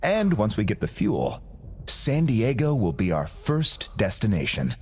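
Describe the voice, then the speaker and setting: Smug arms-trade kingpin for a game: lowish, hugely animated, urbane, businesslike, selling grand visions. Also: male voice, a little slow, slightly rough, heavily acted. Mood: smooth, persuasive. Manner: urbane, self-satisfied, theatrical, businesslike. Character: smooth, grandiose arms-dealer boss with empire ambitions. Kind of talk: video game cutscene acting.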